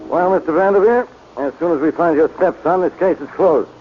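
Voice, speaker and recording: A man's voice: a little high, a little fast, loud music behind the voice, natural voice. A man playing a brusque, irritable police inspector. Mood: gruff. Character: gruff, impatient police inspector. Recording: muffled old radio recording, off-mic.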